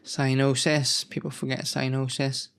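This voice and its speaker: A man's voice: medium pitch, flat delivery, a little slow, creaky, fairly bright tone, clean tone. A man hosting his own gaming channel, talking casually and rambling as he looks back through old magazines, stopping often to correct himself. He is feeling unwell. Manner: rambling, self-correcting, thinks aloud. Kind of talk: casual nostalgic commentary.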